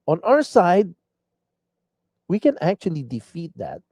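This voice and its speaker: A man's voice: high voice, huge pitch swings, unhurried, a little mellow, no room sound. A man hosting a live online show, delivering an earnest, rambling political commentary monologue full of restarts. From Philippines.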